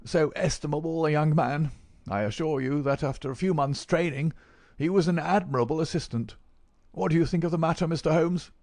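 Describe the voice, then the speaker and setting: A man's voice: on the high side, moderate pace, darkish tone, no room sound, studio quality, pitched above the performer's own voice, close to an everyday voice. A man voicing a gaunt, bedridden old professor in an audiobook, speaking in a precise, mincing, plaintive and ornate way. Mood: lamenting, effusive. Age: older.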